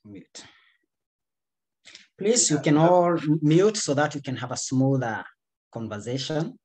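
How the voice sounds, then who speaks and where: A man's voice: on the high side, takes it slowly, darkish tone. A man moderating a video call, briefly and politely asking the participants to mute.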